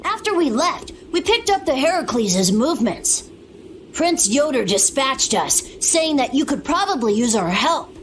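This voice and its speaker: A woman's voice: high voice, sing-song, unhurried, slight background noise. A woman playing a determined young female fighter in a dramatic video game scene. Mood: earnest.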